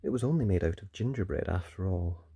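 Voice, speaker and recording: A children's story reader and host giving animated storybook narration, with dramatic emphasis and pauses. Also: low voice, breathy edge, dry recording.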